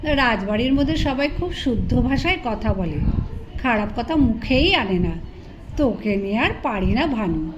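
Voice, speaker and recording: A woman's voice: a little high, lively intonation, normal speed, lightly acted. A woman narrator voicing a proud maharaja, an indulgent yet imperious husband, teasing and commanding in an audio story. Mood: amused scolding. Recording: dull lo-fi recording with loud noise.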